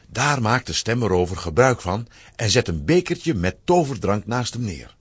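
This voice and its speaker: Low, animated male storyteller. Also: moderate pace, husky, no room sound. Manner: expressive storytelling. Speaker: narrator. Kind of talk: scripted children's story narration.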